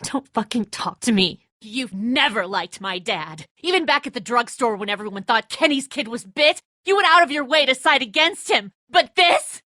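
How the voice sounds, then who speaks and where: An actress playing a bitter, distraught, hot-tempered grown daughter in a dramatic video game, raw and confrontational. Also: female voice, a little high, lively intonation, normal speed, few pauses, sharp tone, dry recording, clean studio sound. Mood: frantic, furious. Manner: raw, emotional, confrontational, sweary.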